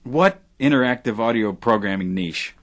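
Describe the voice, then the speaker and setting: A man's voice: fairly low pitch, expressive, moderate pace, close to an everyday voice. A man playing an eager but defensive internet radio station owner in an audio drama.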